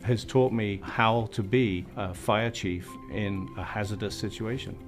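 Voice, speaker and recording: A middle-aged man from Wales with a slight Welsh accent, a fire chief speaking in a measured, reflective way in an interview. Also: on the low side, fairly even intonation, over music. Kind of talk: interview talk.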